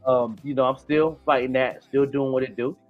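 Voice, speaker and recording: A man's voice: medium pitch, steady tone, moderate pace, phone-quality sound, soft background music. A man in his late forties talking through a casual podcast chat, rambling with lots of restarts and fillers. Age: 40s. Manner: rambling, many restarts and "you know what I'm saying". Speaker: podcast host.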